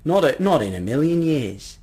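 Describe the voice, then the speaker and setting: Man playing a polite, pleading little old gentleman, husky. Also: fairly high pitch, very animated, moderate pace, voice pushed up. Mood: emphatic, insistent. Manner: courteous, old-fashioned, effusive.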